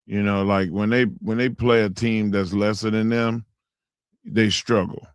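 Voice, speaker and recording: A hoarse man with a low voice co-hosts sports talk radio, halting and restarting his sentences often. The studio mic keeps his voice close and dry. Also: steady tone, no room sound. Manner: halting, many fillers and restarts. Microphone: studio broadcast mic.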